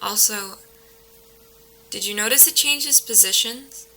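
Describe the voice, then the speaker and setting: A woman's voice: medium pitch, takes it slowly, sharp tone, a little hiss or hum, full character voice, low-quality recording. A woman playing a skeptical, level-headed paranormal investigator in an audio drama, dry and matter-of-fact. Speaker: actor in an audio play. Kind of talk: modern naturalistic audio drama.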